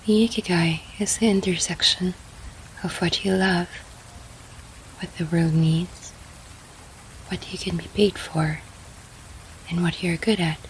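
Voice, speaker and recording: A woman leading a guided sleep meditation in a soft, breathy, half-whispered, soothing manner. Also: lowish voice, fairly even intonation, measured pace, pauses often, slight background noise, low-quality recording. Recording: faint rain sound behind. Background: soft rain.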